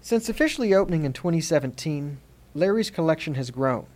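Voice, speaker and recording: Expressive male podcast narrator. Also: a little high, brisk. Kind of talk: scripted documentary narration.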